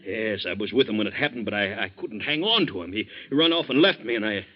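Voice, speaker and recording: An actor playing an anxious, kindly captain in a 1940s radio drama, folksy and halting as he repeats his words. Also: lowish voice, expressive. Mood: worried, hesitant. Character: anxious, kindly sea captain, protective of a young friend. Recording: muffled 1940s radio recording.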